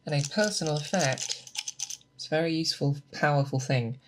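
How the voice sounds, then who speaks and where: A woman playing a seasoned, patient monster hunter in an ASMR roleplay, speaking softly and thoughtfully to an apprentice, close to the mic. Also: low voice, noisy background, close to an everyday voice. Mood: patient, instructive. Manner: deliberate, hesitant, thoughtful explaining. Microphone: close binaural microphone.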